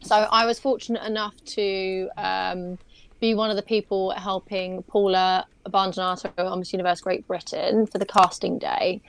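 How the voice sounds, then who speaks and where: A woman's voice: medium pitch, level tone, moderate pace, creaky, slight background noise, poor audio. A young woman, a pageant stylist, chatting casually on a video call podcast, rambling with lots of fillers and restarts.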